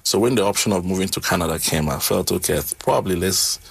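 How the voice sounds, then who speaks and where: A man who is not a native English speaker tells his story in an interview, pausing often with um and uh. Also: low voice, gravelly, husky. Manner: halting, with frequent um and uh fillers. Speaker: interview guest.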